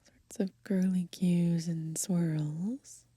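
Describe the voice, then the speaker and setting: A young woman whispering in a hushed, breathy voice for ASMR, unhurriedly reading from a book and adding gently musing asides. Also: on the low side, fairly even intonation, very slow, no room sound, studio quality. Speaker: ASMR host. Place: outdoors on grass.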